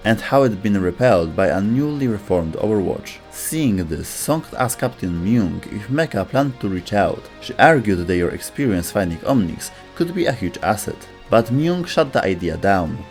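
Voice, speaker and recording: A man's voice: on the low side, a little mellow. A man reading scripted narration as a video host.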